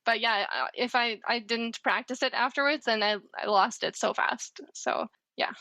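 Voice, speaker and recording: Bright-voiced woman, dry close sound. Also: fairly high pitch, moderate pace, no echo. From Canada. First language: German. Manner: laughing, chatty, restarts often. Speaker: podcast guest, farmer. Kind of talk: casual chat.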